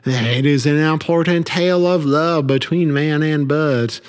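High-voiced stammering folksy man host. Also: smooth, long reverb tail. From Billings, Montana. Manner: halting, stammering, folksy and odd. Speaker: podcast host. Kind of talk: quirky scripted host monologue.

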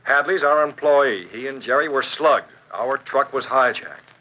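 Authoritative newspaper boss, medium pitch, crisp and composed, muffled vintage radio broadcast. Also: male voice, lively intonation, a little slow. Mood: firm, serious. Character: composed, commanding newspaper publisher. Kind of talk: 1940s radio drama acting.

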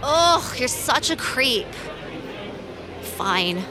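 Sloshed annoyed girl, pretty high voice, sarcastic and insistent, audio drama, music under. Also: big pitch swings, extremely slow, a crowd in the background, full character voice. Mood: exasperated, bewildered. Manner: insistent, stumbling, exasperated. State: slightly drunk. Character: tipsy, defiant young woman, sarcastic and bewildered.

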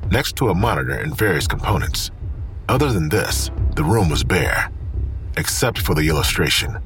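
An actor narrating a crime audio drama in a grave, measured, descriptive storytelling style. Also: male voice, low-pitched, very animated, a bit bright, soft background music. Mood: grave, suspenseful.

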